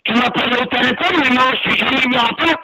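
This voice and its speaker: A man's voice: very high voice, fast, very noisy. A man arguing heatedly on a phone call, indignant and threatening, repeating himself. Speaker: prank call target.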